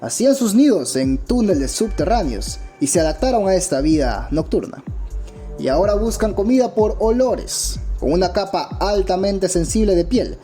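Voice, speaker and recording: Mellow documentary narrator over music. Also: medium pitch, animated, normal speed. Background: background music.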